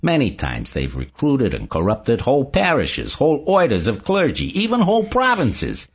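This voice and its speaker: A man's voice: medium pitch, huge pitch swings, normal speed, breathy edge, full character voice. A man voicing a confident, eager male investigator in audiobook dialogue, explaining emphatically, on a dull lo-fi recording. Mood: emphatic, triumphant.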